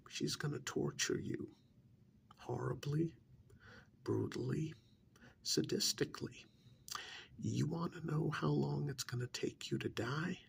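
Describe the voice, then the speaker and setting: A calm, menacing vampire emissary, acted by a man, speaks softly in a near whisper with heavy vocal fry. He is slow, deliberate and condescending, as in an intimate ASMR role-play. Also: medium pitch, heavily acted. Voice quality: breathy, half-whispered, creaky.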